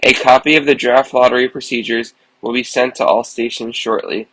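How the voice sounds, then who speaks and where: A man playing a calm government representative, giving a formal, reassuring address to the nation. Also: medium pitch, a little fast, no echo, close to an everyday voice, poor audio. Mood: calm, solemn. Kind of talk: formal televised official address.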